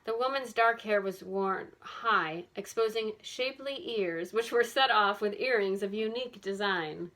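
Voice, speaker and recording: A woman's voice: medium pitch, a little slow, few pauses, fairly bright tone. A woman reading scripted narration from a children's mystery novel as an audiobook narrator.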